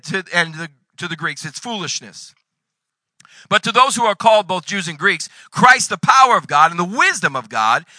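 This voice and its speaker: A man in his forties preaching a sermon, reading scripture and breaking into sudden loud bursts. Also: high voice, no echo, low-quality recording. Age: 40s. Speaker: pastor.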